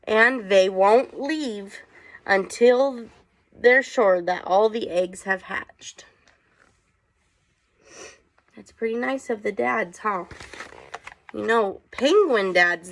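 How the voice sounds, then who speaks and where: A woman's voice: medium pitch, a little hiss or hum. A woman teacher reading aloud to children, with chatty asides and questions for her young listeners.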